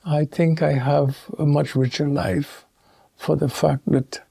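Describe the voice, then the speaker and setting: A man from Trinidad and Tobago, a theologian speaking as an interview guest in a measured, thoughtful way with pauses and restarts. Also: on the high side, flat delivery, creaky, mellow, slightly rough, no echo. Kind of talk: reflective interview conversation.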